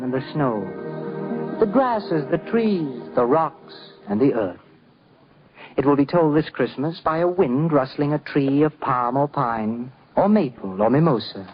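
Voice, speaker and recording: A man narrates like a 1950s radio dramatist, poetic and reverent. His voice sits fairly high and moves in wide, expressive sweeps. The recording is dull and vintage, with music underneath. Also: breathy edge. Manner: poetic, reverent, theatrical delivery.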